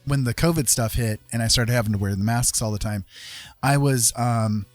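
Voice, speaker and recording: A man's voice: medium pitch, normal speed, dry recording, soft background music. Casual man livestreaming, dry close sound. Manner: jokey, rambling, chuckling. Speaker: livestream host and artist.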